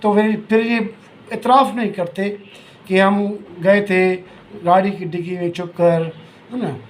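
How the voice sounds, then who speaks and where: A Pakistani man with a distinctly high voice talks through political analysis for his viewers. His delivery is informal and halting, circling back to repeat phrases. There is noticeable noise in the recording. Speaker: political commentator.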